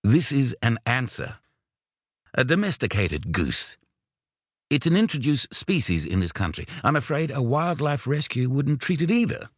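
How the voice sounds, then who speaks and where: Man acting male veterinarian, audiobook character, raspy, dry close sound, disdainful, clipped, matter-of-fact. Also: mid-range voice, big pitch swings, moderate pace, no room sound, voice pushed up.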